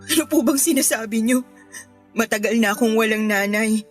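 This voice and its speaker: High-pitched, wounded young granddaughter, acted by a woman. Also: normal speed, faint music behind, natural voice. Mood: hurt, bitter. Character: hurt young granddaughter, devoted yet bitter. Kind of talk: Tagalog radio drama acting.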